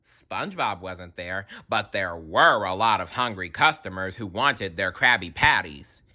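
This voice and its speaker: A man's voice: low voice, lively intonation, a little slow, pushed and bright. A man reading a children's story aloud expressively, adding wry asides as storyteller.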